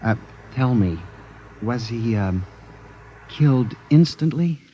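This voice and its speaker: Anxious statistician hero of 1950s radio sci-fi; highly expressive, earnest, dulled vintage recording. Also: male voice, takes it slowly, slight background noise. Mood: nervous, deferential. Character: earnest, anxious male statistical clerk recounting a nightmare. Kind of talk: 1950s radio drama acting and narration. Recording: muffled vintage radio recording with music behind.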